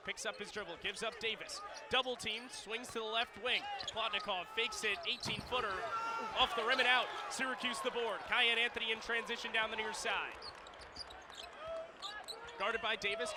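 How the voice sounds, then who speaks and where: A man's voice: high voice, steady tone, normal speed. A man doing radio basketball play-by-play in clipped, descriptive phrases, punching up the big plays. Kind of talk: radio sports play-by-play commentary. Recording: noisy arena with bouncing basketballs.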